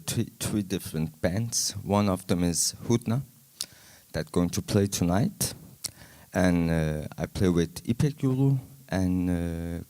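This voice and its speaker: A man speaks slowly in a low, smooth, resonant voice. He answers as a panel guest, soft and halting, pausing often on fillers. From Denmark. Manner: soft-spoken and halting, many pauses and fillers. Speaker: folk musician, panel guest.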